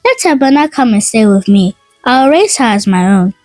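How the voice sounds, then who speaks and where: A woman voicing a gentle, patient older village sister in a folk tale, speaking with careful pleading. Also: on the high side, sing-song, moderate pace, dry recording, faint music behind.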